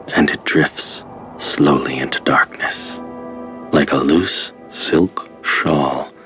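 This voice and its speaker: Super low slow hypnosis tape man, calm n controlling, soothing, muffled lo-fi cassette sound. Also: full character voice. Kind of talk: guided hypnosis instructional tape in an audio drama. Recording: dull lo-fi cassette-style sound. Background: faint music.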